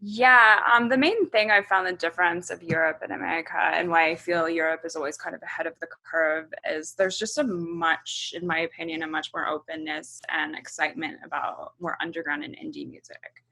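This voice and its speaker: A woman in her thirties who grew up in Hong Kong talks through interview answers, pausing often with ums and likes. Her voice is husky with a gravelly edge, yet bright in tone and pitched a little low. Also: rough recording. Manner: relaxed, thinks aloud with many ums and likes. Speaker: musician being interviewed.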